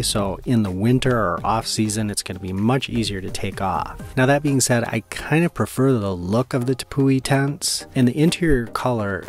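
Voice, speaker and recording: A man giving a casual first-person vlog voice-over in a laid-back, colloquial way, peppered with "like" and slang. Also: lowish voice. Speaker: vlogger reviewing camping gear.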